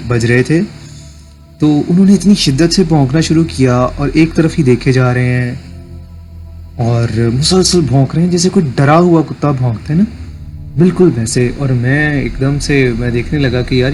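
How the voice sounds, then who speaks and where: Man, Pakistani accent, medium pitch, casual storyteller, halting phrasing, faint music behind. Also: a little mellow. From Punjab, Pakistan. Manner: halting phrases, switches into English. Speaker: listener sending an audio story. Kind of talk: casual first-person storytelling. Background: background music.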